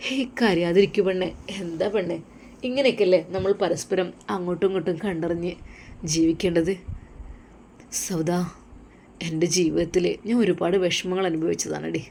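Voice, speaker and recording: A woman voicing a gentle, caring husband who speaks softly and tenderly to his wife. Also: lowish voice, fairly even intonation, normal speed, creaky, a little hiss or hum. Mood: soothing. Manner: soft, tender, reassuring.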